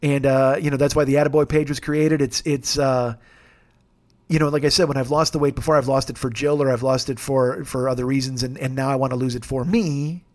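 A man in his forties hosting a podcast alone, talking in a rambling, jokey, self-deprecating way with a gravelly voice. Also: medium pitch, fairly even intonation, brisk, a little mellow. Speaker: podcast host. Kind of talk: casual solo monologue.

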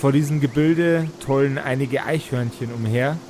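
A man narrating an improvised tabletop fantasy game as game master, in halting, deliberate phrases. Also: mid-range voice, darkish tone, a little hiss or hum. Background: background music.

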